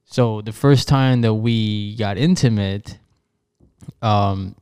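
A man's voice: fairly low pitch, slow pace, darkish tone, smooth, no echo, clean studio sound. A young adult man co-hosting a casual chat, talking hesitantly with many fillers and restarts. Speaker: podcast co-host.

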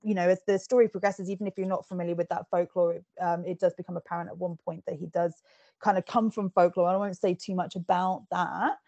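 A woman's voice: lowish voice, steady tone, quick pace, warm tone, dry recording, muffled recording. A woman hosting an interview, talking casually with frequent giggles, fillers and restarts over a remote call. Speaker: podcast host interviewing an author.

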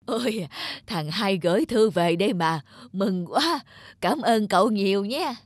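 A woman voicing a kindly, grateful old village grandmother in an animated folk tale, speaking in a homely, insistent, unhurried way. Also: medium pitch, no echo, studio quality. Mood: caring.

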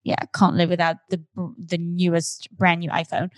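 A woman's voice: fairly low pitch, completely flat delivery, normal speed, no echo. Breezy young woman host, dry sound. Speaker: podcast host. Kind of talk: casual chat.

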